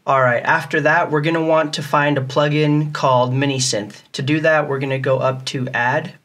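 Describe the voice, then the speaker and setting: A man's voice: fairly high pitch, fairly even intonation, moderate pace, fairly bright tone. A man giving a casual, step-by-step tutorial in a plain, methodical way. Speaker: music tutorial host.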